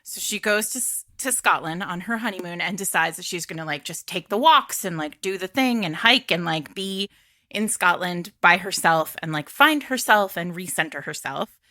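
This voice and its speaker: Female podcast host, bright and punchy, very dry close sound, playful storyteller in casual book talk. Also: on the low side, expressive, moderate pace, no echo. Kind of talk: casual book recommendation chat.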